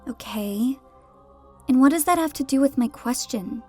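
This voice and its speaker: A woman acting a young captive girl with a fairly high voice. She is defiant and casual, with blunt sarcastic phrasing, in a game cutscene parody with music behind her. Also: expressive, moderate pace, a little mellow. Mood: guarded. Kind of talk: scripted animated game parody dialogue.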